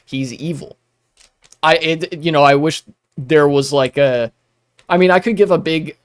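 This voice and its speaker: A man's voice: medium pitch, dry recording. A young man streaming while gaming, talking casually with many restarts and blunt asides.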